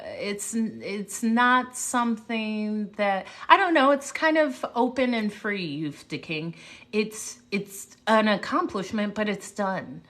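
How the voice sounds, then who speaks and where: A woman speaker chatting casually with viewers on a livestream, reflective and unhurried, pausing and restarting often. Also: mid-range voice, fairly bright tone. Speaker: livestream host, motivational speaker. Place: home livestream. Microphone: phone or webcam mic.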